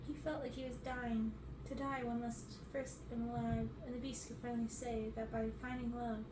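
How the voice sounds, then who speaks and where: A woman's voice: fairly high pitch, level tone, moderate pace. A young adult woman reading a storybook aloud as scripted ASMR narration in a bedroom. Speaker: reader on an ASMR channel. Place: bedroom, indoors. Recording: roomy, noisy, distant microphone.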